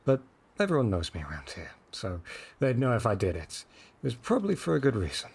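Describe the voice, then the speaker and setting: An actor as a cautious young man guiding a friend in an audio drama. His voice is husky and lively in pitch. He explains things earnestly and gets flustered easily. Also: brisk, heavily acted. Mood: earnest, urgent.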